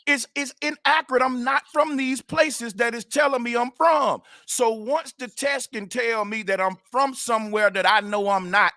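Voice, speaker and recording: A man with a high, bright, sharp voice, arguing hard and refusing to give up the floor. The sound is dry and close, with no room around it. He presses each point and swears freely. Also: moderate pace, few pauses, dry recording. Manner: insistent, talks over others, swears. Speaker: debater. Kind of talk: heated debate.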